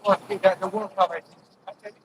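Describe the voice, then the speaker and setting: Man, Kurdish accent, high voice, casual short phrases, outdoor chat, clear road noise. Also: level tone, normal speed. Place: outdoors on a motorway bridge. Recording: loud outdoor traffic noise.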